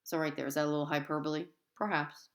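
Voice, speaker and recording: A woman with a low-pitched voice reads to young pupils as their teacher. She is expressive and often turns to address the children with short explanations. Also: fast talker. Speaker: third-grade teacher reading aloud. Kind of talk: animated children's read-aloud with teaching asides.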